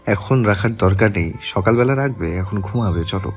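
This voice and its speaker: A man plays a young politician and husband in a Bangladeshi audio romance. His voice sits low, measured and intimate, with quiet self-assurance. Faint music runs underneath. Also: husky. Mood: tender. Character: young male politician, tender husband, coolly menacing to rivals. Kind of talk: romantic audio story acting.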